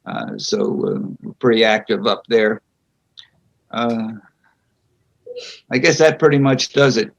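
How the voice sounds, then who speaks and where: An older man speaks at an unhurried, faltering pace, pausing often as he answers a panel's questions. His voice comes through a muffled, lo-fi video call connection. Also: lowish voice, huge pitch swings, gravelly. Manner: slow and halting, with frequent pauses. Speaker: board candidate being interviewed. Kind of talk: answering interview questions at a public meeting. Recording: dull lo-fi video call audio.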